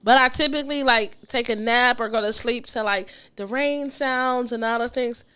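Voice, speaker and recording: Bright, congested woman podcast host. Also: fairly high pitch, moderate pace, clear, resonant tone. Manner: animated, swears freely, restarts mid-sentence. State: congested with a cold. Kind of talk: casual chat.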